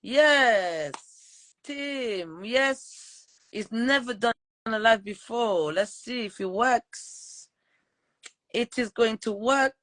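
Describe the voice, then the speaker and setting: A woman's voice: a little high, extremely slow, fairly bright tone. A woman from Portugal and Switzerland hosting a casual live interview, informal and given to repeating her words. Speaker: podcast host.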